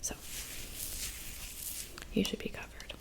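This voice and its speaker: A woman playing a gentle, attentive witch in an ASMR roleplay, whispering breathily and unhurriedly with soft pauses. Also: close to an everyday voice, low-quality recording. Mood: confiding, gentle. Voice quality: breathy whisper with creaky edges. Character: gentle, attentive old witch who looks young. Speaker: ASMR performer.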